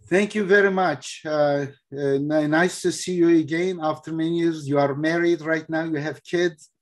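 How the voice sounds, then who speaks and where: A man hosting an online call, speaking hesitantly with many pauses. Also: fairly high pitch, fairly even intonation. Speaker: host. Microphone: laptop webcam mic on video call.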